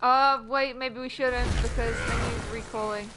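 A woman's voice: a little high, steady tone, a little slow, heavy background noise. A woman with a Yorkshire accent streaming a team game, calling orders to her teammates, swearing and laughing easily. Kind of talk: casual gaming chat. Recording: game music underneath.

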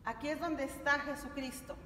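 A woman's voice: high voice, normal speed, fairly bright tone. A middle-aged woman teaching a religious lesson to families in a didactic, questioning way, recorded in a church. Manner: didactic, asks check-in questions. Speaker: catechism teacher. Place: large stone-walled church, indoors. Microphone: camera a few steps away. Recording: echoey church hall, distant mic, noisy.

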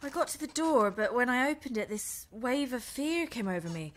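Flustered, high-voiced indignant woman. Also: sing-song, normal speed, a bit bright. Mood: shaken, indignant. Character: flustered, indignant English woman, romance novelist, reluctant guest. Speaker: actor in an audio drama. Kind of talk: horror-comedy audio drama acting.

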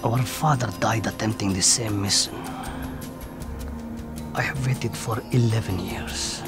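Male actor as grave, zealous brother, middle-aged, lowish voice, thriller with heavy music. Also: unhurried, close to an everyday voice. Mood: solemn, determined. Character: solemn, driven brother on a fateful mission. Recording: film audio with loud music.

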